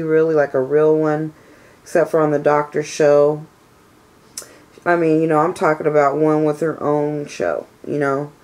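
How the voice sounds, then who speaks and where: A low, flat-voiced woman in her thirties, raspy and stuffed up from a cold. She rambles and vents in a casual vlog, circling back on her complaints with plenty of "you know"s. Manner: frustrated, venting complaints. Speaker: vlogger. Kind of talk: casual personal vlog rant.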